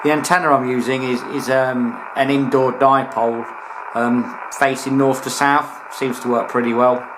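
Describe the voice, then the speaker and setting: A man's voice: medium pitch, little pitch movement, normal speed, background noise. Chatty British man reviewing gear. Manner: chatty, informal British slang. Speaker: radio hobbyist reviewing his collection. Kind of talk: casual video product review.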